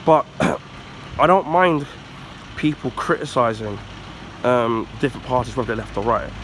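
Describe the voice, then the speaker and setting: A man from the UK with a husky, congested voice from the flu, giving a casual rambling rant with many ums and throat clearing. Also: mid-range voice, animated, measured pace, noisy background. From United Kingdom. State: husky, recovering from flu, blocked nose. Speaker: YouTuber.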